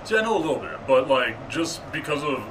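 A deep-voiced man from El Paso hosting a casual interview, joking and self-deprecating. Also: sing-song, fairly quick, far from the mic, background noise. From El Paso, Texas. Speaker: interviewer, host.

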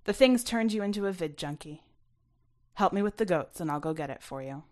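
A woman's voice: on the low side, lively intonation, a little fast, darkish tone, natural voice. A woman voicing a young farm boy in a story, a caring, teasing friend who talks casually.

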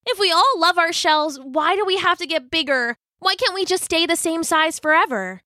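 A woman's voice: high voice, animated, normal speed, fairly bright tone, no room sound, studio quality, pitched above the performer's own voice. A woman voicing a young, sensitive male hermit crab in an earnest, plaintive way for a children's story. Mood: frustrated.

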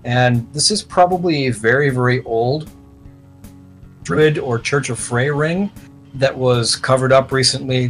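Male game master over guitar music. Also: mid-range voice. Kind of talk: improvised tabletop game narration. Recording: music playing under the voice. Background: plucked guitar music.

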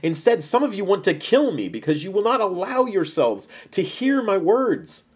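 A man voicing Jesus as a bold, authoritative teacher in his thirties, speaking with measured, solemn emphasis in a dramatized Bible story. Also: sits high, big pitch swings, breathy edge, pitched above the performer's own voice, full character voice. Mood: earnest, emphatic.

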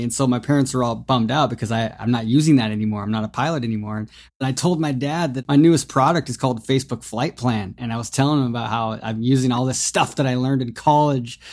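A man hosting a marketing podcast, friendly and jokey, with quick asides to his guest. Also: mid-range voice, a little mellow. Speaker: podcast host. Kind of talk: podcast interview.

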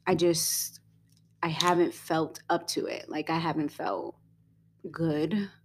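A young woman co-hosting a casual podcast, talking in a rambling way with many fillers and quick giggles. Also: low-pitched, little pitch movement, a little hiss or hum. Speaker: podcast co-host.

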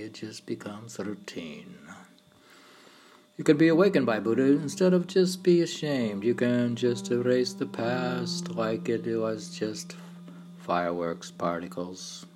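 A man reading his own meditative poems in a halting way, now and then repeating or stumbling over words. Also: mid-range voice. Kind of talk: slow meditative poetry reading. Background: piano and keyboard music.

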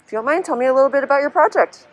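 A woman's voice: high-pitched, very fast, poor audio. Woman interviewer with brief, friendly prompts. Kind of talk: event interview.

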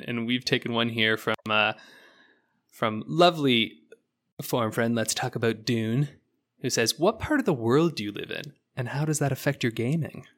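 A woman hosting a podcast in casual, informal and slightly halting conversation. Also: very deep voice, fairly bright tone. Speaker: podcast host. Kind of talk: casual chat.

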